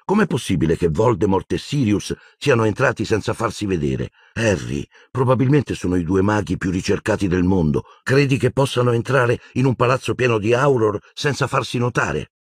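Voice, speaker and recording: A man's voice: lowish voice, lively intonation, normal speed, few pauses, slightly rough, dry recording, studio quality, pitched above the performer's own voice. A man voicing an anxious, earnest teenage girl in an audiobook, timid but stubborn, with hesitant, pleading delivery. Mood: worried reasoning.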